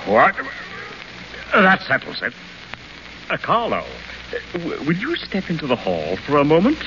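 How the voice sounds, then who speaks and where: An actor playing an exasperated, bankrupt wealthy father in a 1930s radio play, gruff and sarcastic. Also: fairly high pitch, huge pitch swings, unhurried, heavily acted. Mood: sardonic. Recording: muffled old radio broadcast with faint hiss.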